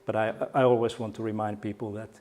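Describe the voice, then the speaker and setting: A man's voice: on the low side, little pitch movement, quick pace, darkish tone. A middle-aged man from Finland with a Finnish accent, giving a conference keynote in a friendly, joking way with frequent restarts. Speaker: keynote speaker. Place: conference hall.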